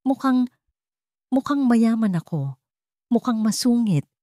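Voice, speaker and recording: A woman voicing a confused, shaken wealthy man in a radio drama, halting and bewildered. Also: a little high, animated, warm tone, no echo, clean studio sound, voice pushed up. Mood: feigned confusion.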